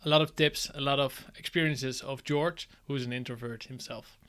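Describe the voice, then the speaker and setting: A man hosting an interview podcast. His voice sits at an ordinary middle pitch, and he talks in an upbeat, chatty way, laughing easily and trailing off softly at the ends of his questions. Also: steady tone, normal speed, clear, resonant tone. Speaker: podcast host. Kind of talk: casual interview with a scripted intro.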